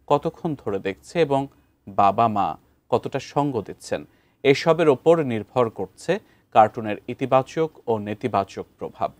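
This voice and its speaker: A man's voice: mid-range voice, a little mellow, dry recording. A man with a Bangladeshi accent reading a scripted news introduction as an anchor.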